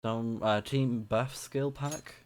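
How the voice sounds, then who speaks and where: A man's voice: mid-range voice, steady tone, slow, darkish tone. A young man with a slightly scratchy voice giving enthusiastic, casual live commentary as he plays a video game. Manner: enthusiastic, thinking aloud. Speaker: game streamer. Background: game music.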